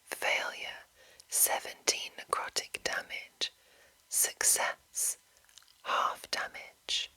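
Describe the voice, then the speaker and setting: A woman whispering as an ASMR host, reading and commenting in a hushed, lingering manner with drawn-out phrases. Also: poor audio.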